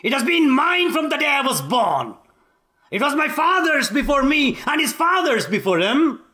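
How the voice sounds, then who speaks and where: A man with Tamil as his first language voicing an arrogant reigning king who talks in a shouting, haughty, scornful way. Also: very high voice, big pitch swings, normal speed, pitched above the performer's own voice, full character voice. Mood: scornful furious.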